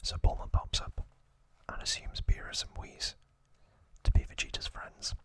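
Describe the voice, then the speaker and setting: A man whispering an ASMR recap as host, in short, deliberate phrases with pauses between them. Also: a bit bright, no echo.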